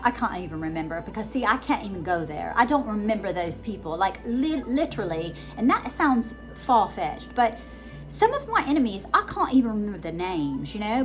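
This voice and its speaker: Woman, higher-pitched voice, adopted British accent, rambling casual delivery, emphatic, light music behind. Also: rough recording. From United States, born in Georgia. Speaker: vlogger, self-help coach. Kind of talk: casual monologue with self-help teaching.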